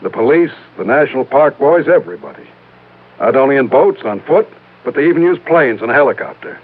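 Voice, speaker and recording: A man's voice: mid-range voice, sing-song, fairly quick. An American actor playing an earnest, worried man who hesitantly voices suspicions in a 1950s radio drama. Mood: worried, insistent. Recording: tinny narrow-band old radio recording.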